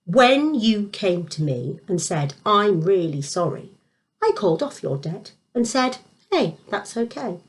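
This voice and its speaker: A powerful king as a woman storyteller reads him speaks with measured emphasis. He is merciful yet stern, with widely moving intonation, in a children's Bible tale. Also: medium pitch, sing-song. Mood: stern reproach. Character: powerful male king, merciful but stern when wronged.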